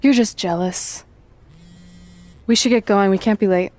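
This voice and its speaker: A woman's voice: medium pitch, a little slow, a little mellow, lightly acted. An actress playing a sarcastic, hot-headed young woman wrestler in a video game cutscene. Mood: dry, impatient. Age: young adult.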